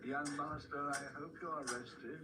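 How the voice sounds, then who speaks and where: An actor playing an ancient, courtly and menacing vampire master in a radio horror drama, with mock-polite, drawn-out delivery. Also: male voice, on the high side, steady tone, very noisy, natural voice. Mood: courtly menace. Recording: echoey, distant, music over voice.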